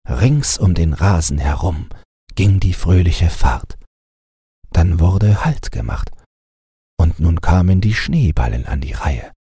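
A man with a low, very husky voice reads a children's bedtime tale. His delivery is expressive and unhurried, as a storyteller's. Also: no echo, clean studio sound. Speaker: audiobook narrator. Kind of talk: scripted bedtime fairy-tale narration.

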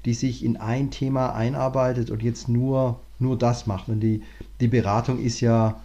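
A man, a management consultant, talking through his career in a relaxed interview, thinking aloud with many restarts. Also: mid-range voice, moderate pace, muffled recording. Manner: thoughtful, many fillers and restarts. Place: lives in Cape Town, remote interview.

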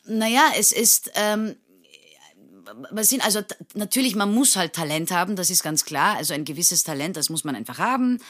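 A woman's voice: mid-range voice, expressive, moderate pace, fairly bright tone. A thirty-something woman from Turkey speaks in an easy interview conversation, thinking aloud as she goes. Her sentences circle back with fillers and restarts, and she often breaks into a laugh. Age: 30s. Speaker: actress and interview guest.

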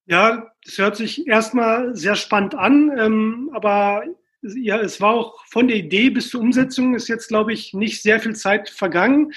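A woman's voice: fairly high pitch, measured pace. A woman co-hosting a podcast, asking interview questions in a conversational way. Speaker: podcast co-host.

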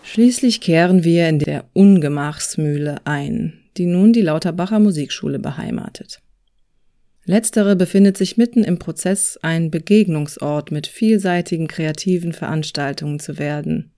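Dark, mellow woman narrating. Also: low-pitched. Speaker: podcast host narrator. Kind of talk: scripted reflective narration.

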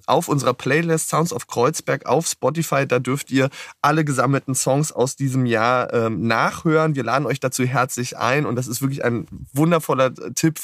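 A man hosting an interview podcast, listening closely with affirming murmurs and asking questions in gently hedged phrasing. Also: mid-range voice, dry recording, studio quality. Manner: attentive, frequent affirming murmurs, hedging phrasing. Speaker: podcast host. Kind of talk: interview conversation.

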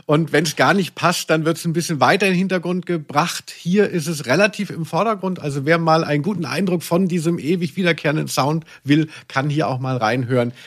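A man's voice: a little high, brisk, hardly any pauses. A man co-hosting a podcast, casually commenting on audio plays in a wry, mock-serious way. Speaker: podcast co-host.

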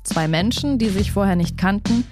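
A woman's voice: medium pitch, normal speed. A woman reading a scripted introduction in a polished, announcing way. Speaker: narrator. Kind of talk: scripted narration. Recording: voice-over with clear music bed.

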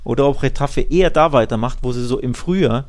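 A man's voice: mid-range voice, fast talker. A man hosting a football podcast in casual discussion, talking emphatically and stacking phrases for effect.

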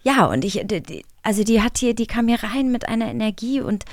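A woman co-hosting a podcast in lively casual chat. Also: on the high side, a little fast, no room sound, clean studio sound. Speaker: podcast co-host.

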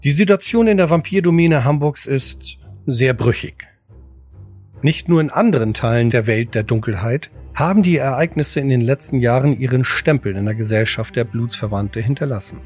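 A man reading scripted audio drama narration in a measured, solemn storytelling manner. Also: mid-range voice, a little mellow. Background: music.

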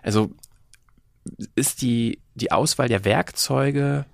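A man's voice: medium pitch, slow pace, creaky, no room sound, clean studio sound. A man in a casual podcast discussion, speaking tentatively and searching for words. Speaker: podcast panelist.

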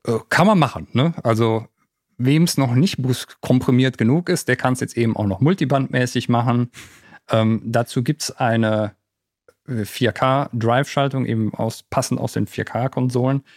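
A man's voice: mid-range voice, normal speed, a little mellow, no echo. A man chats through a gear review as a podcast co-host, enthusiastic and colloquial. His speech is sprinkled with English technical jargon. The sound is dry, with hardly any room on it. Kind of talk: casual gear review chat.

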